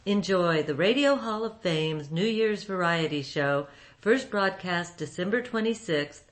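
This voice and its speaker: Female radio host, lower-pitched voice, scripted program introduction. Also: animated, unhurried.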